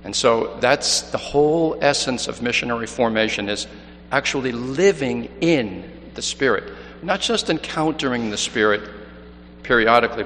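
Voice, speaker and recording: A man's voice: mid-range voice, fairly bright tone. A man from New York City, a missionary priest, reading the Gospel and then giving an earnest mission appeal homily with frequent restarts. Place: large church. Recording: long church reverb, faint hum.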